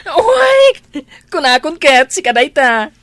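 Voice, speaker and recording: A woman playing an excitable, playful younger sibling in a radio drama, gushing and exclaiming. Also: high-pitched, takes it slowly, exaggerated voice. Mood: giddy, teasing. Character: giggly, excitable, gift-loving younger sibling.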